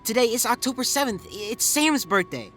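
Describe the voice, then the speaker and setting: An actress playing a blunt, sarcastic teenager who judges quickly, in a family audio drama. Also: female voice, a little high, big pitch swings, fairly bright tone, soft background music. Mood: hurt, angry.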